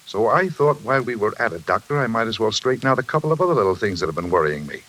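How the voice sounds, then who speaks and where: A man's voice: fairly low pitch, animated, quick pace, fairly bright tone. An actor playing a folksy middle-aged American railroad man who justifies himself talkatively, in old-time radio drama style. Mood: jaunty, evasive. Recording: noisy, hissy vintage radio broadcast.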